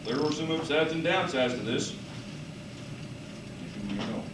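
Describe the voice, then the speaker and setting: A man's voice: on the high side, moderate pace. A man giving an informal, bantering student presentation in a playful, teasing manner. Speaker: student presenter in a class puppet show. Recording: echoey room, distant mic, noisy.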